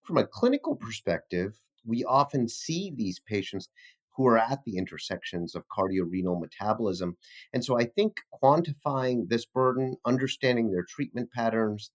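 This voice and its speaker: A man's voice: on the low side, poor audio. A man answering as a physician researcher in an interview, formal and explanatory in manner.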